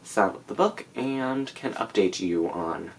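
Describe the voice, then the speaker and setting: A man's voice: on the low side, lively intonation, unhurried, poor audio. Stuffy-nosed teen boy chatting. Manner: chatty, rambling with many fillers. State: stuffy nose from a cold. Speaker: book vlogger. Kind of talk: casual chat to camera.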